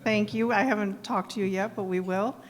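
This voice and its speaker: A woman's voice: mid-range voice, steady tone, brisk, slight background noise. A middle-aged woman from South Dakota, answering questions at a live evening event in an earnest way, with frequent ums. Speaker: executive director being interviewed. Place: event hall. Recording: long hall reverb.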